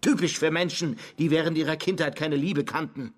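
A man's voice: fairly high pitch, steady tone, brisk, lightly acted. An actor playing a disgusted, sarcastic man who is made to listen to a confession in a German radio drama, answering with biting indignation. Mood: accusing.

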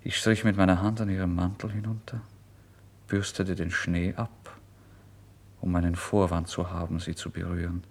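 Ein Schauspieler spielt einen mittellosen, fiebrig aufgewühlten jungen Mann, der seine Geschichte in einem deutschen Hörspiel eindringlich als Ich-Erzähler vorträgt. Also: male voice, low-pitched, little pitch movement, moderate pace. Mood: tender, hushed. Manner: intense, brooding, swinging between whispers and loud outbursts. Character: destitute, feverish young man confessing his story, tormented, exalted. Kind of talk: dramatic first-person literary narration in a German radio play.

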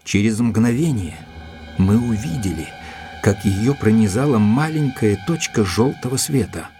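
An actor playing an earnest, mildly proud gentleman assistant to a detective, telling the story in a measured, literary way in an audio drama. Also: fairly low pitch, expressive, faint music behind. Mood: hushed, suspenseful.

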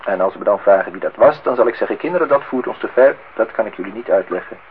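A man's voice: lowish voice, lively intonation, quick pace, natural voice. An actor playing a wry, grumbling man acting the husband and father in a Dutch radio play, with a dry, theatrical irony. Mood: wistful, ironic. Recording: tinny, band-limited old radio recording, faint noise.

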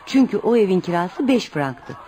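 A woman's voice: mid-range voice, big pitch swings, moderate pace, mellow, soft background music, close to an everyday voice. An actress in a radio play playing a poor, devout widowed mother, protective and anxious, who speaks in an earnest, instructive way. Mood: explaining, cautioning. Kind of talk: radio theater acting.

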